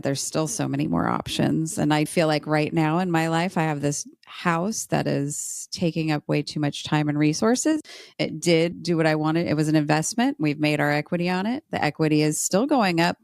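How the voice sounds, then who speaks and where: A woman's voice: sits low, moderate pace, vocal fry, a little mellow, no room sound, studio quality. A middle-aged American woman chatting casually and candidly as a podcast host. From United States. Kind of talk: casual conversational podcast chat.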